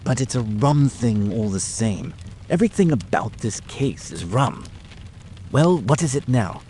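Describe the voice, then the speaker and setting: A man's voice: medium pitch, animated, a little slow, mellow, a little hiss or hum, natural voice. A man voicing a plain-spoken, puzzled country police sergeant in an audiobook drama, blunt and folksy.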